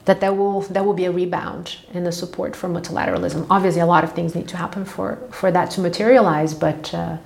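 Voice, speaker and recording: A woman's voice: lowish voice, fairly even intonation, vocal fry, a little mellow. Thoughtful woman from Brazil. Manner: thoughtful, measured, with frequent restarts and "you know". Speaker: guest. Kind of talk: conversational interview. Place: office meeting room.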